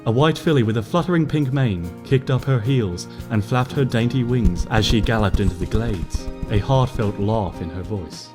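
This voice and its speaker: A man calmly narrating a storybook in an unhurried way. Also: fairly low pitch. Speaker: story reader. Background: soft music.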